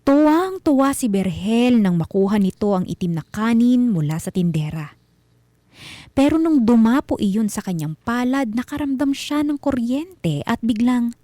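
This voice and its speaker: A woman with a Filipino accent narrating scripted horror stories as a radio host, in a deliberate, dramatic manner. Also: a little high, lively intonation, mellow, no room sound.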